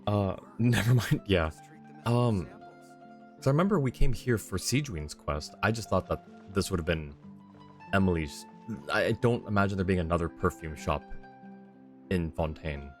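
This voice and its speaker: A man's voice: deep voice, normal speed. A man chatting casually on a game livestream, thinking aloud in a rambling, easygoing way. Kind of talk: casual livestream chat while playing a game. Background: background game music.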